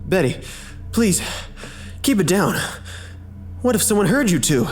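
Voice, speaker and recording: Low-pitched, cautious woman confidante acted by a woman. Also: very animated, unhurried, a little mellow. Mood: urgent, protective. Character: cautious, calming female confidante. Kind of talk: audio drama acting.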